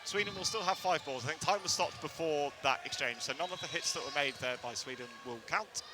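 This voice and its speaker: A man doing live play-by-play commentary from a commentary box, calling plays fast and shouting on big moments. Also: fairly high pitch. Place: indoor sports hall, commentary box. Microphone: headset.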